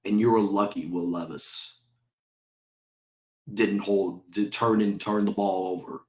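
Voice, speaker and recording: A man's voice: fairly low pitch, fairly even intonation, unhurried, darkish tone, reverberant. A man with a sore throat hosting his own sports channel, ranting heatedly as a fan and swearing often, firing off repeated rhetorical questions. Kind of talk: ranting fan commentary to camera.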